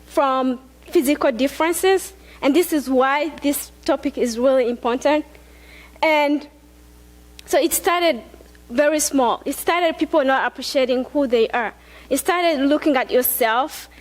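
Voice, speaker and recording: A young woman from Rwanda gives an earnest, conversational talk on a large stage, speaking into a headset mic with frequent fillers and restarts. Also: high-pitched. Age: young adult. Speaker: student speaker. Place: large dark auditorium stage.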